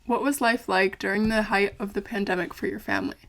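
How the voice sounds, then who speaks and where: An actress playing a polite, earnest young investigative reporter with an American accent in an audio drama. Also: female voice, medium pitch, fairly even intonation, fast talker, fairly bright tone, natural voice. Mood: careful, sympathetic. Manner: polite, wry. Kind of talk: audio drama acting, narrated recorder diary.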